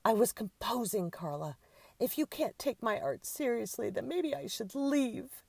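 An imperious woman artist in a story , acted out by a woman narrator, pitched high and very loud. She scolds theatrically and drags out her indignant words with great self-importance. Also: lively intonation, unhurried, creaky, slightly rough, no echo, full character voice. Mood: loud outrage. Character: imperious, melodramatic woman artist in a crow mask.

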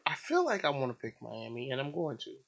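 A man's voice: medium pitch, wildly swooping pitch, brisk, gravelly, a bit bright. An American man co-hosting a sports podcast, chatting casually about football in an informal, slangy, opinionated way. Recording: dull, lo-fi podcast audio.

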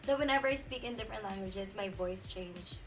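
A woman's voice: mid-range voice, brisk, distant mic, over music. Peppy nineteen-year-old woman, a bit off-mic. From Philippines. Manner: peppy, giggly, upspeak-prone. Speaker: podcast co-host. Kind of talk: casual chat.

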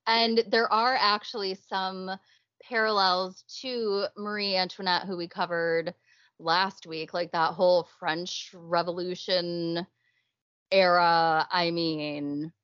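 A woman's voice: lowish voice, fairly even intonation, slow pace, fairly bright tone, no room sound, dull audio. A young woman co-hosting a podcast in casual chat, chatty and laughing often. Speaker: podcast co-host.

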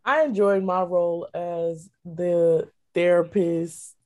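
A woman's voice: fairly low pitch, steady tone, slow pace, darkish tone. A woman answering casually as a cast member in an interview. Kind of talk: casual chat.

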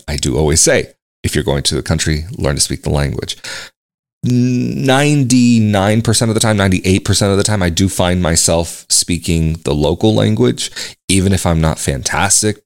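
A man from the United States with a very low voice talks through everyday life abroad in a relaxed vlog style. He rambles a little, circling back with restarts and side remarks. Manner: conversational, rambling with restarts and asides. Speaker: expat vlogger. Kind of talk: casual talk to camera. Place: home in Portugal.

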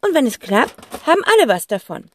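A woman voicing an eager, quick-witted schoolboy who talks loudly and excitedly, in an audiobook. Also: sits high, voice pushed up. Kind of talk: audiobook character voice.

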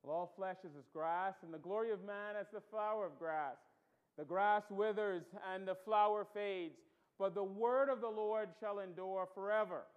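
Pastor with a light Bahamian accent. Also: male voice, sits high, phone-quality sound. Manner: conversational, many ums and restarts, rising to emphatic stresses. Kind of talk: sermon.

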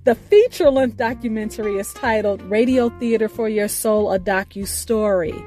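A woman radio host reading a scripted show introduction with deliberate, announcer-like phrasing. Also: medium pitch, mellow. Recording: music bed behind the voice. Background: music.

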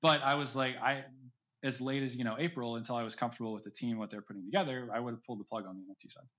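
Man, medium pitch, opinionated podcast guest, conversational, explains by example, slightly muffled lo-fi recording. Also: fairly even intonation, very fast, sharp tone. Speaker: podcast guest, audio drama creator. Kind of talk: casual interview talk.

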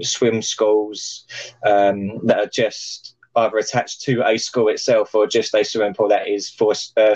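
A man hosting a podcast over a video call, chatty and joking with lots of fillers, his voice a little croaky. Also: medium pitch, a little mellow, lo-fi sound. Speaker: podcast host. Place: plant room.

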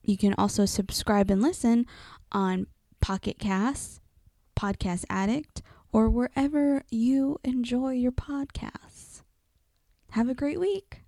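A woman's voice: fairly high pitch, slow pace, dark tone, dry recording, clean studio sound. A woman reading a friendly, scripted outro for a podcast. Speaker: guest announcer.

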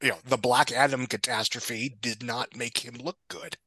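A man with a bright, forceful voice at a middling pitch, offering film commentary in a casual chat. He is articulate and opinionated, and the sound is very dry. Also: fairly even intonation, normal speed, husky, no echo. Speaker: podcast guest, film commentator.

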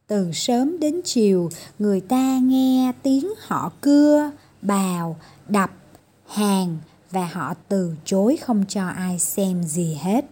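A woman's voice: medium pitch, lively intonation, takes it slowly, mellow. A woman storyteller reading a children's fairy tale as scripted narration.

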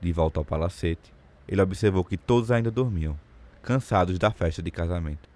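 A man's voice: low-pitched, brisk, dark tone. A man giving scripted literary narration for an audio drama.

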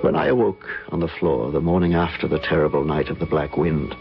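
An actor playing a haunted, nervous gentleman in an old-time radio drama, fraught and dramatic as he talks and tells his tale. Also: very deep voice, expressive, fairly quick, creaky, full character voice. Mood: haunted, grave. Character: haunted, nervous gentleman recalling terror of decades past. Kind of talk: old-time radio drama acting, first-person narration. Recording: dull vintage radio recording, loud music behind.

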